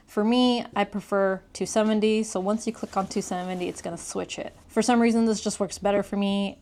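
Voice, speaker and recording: A woman giving a casual, conversational hands-on gadget review, explaining things step by step. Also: medium pitch. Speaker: product reviewer.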